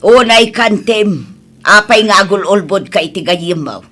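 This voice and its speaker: A woman's voice: mid-range voice, lively intonation, unhurried, a bit bright, faint music behind. A woman with an Ilocano accent playing a stern, long-suffering middle-aged mother in a radio drama, scolding and emphatic.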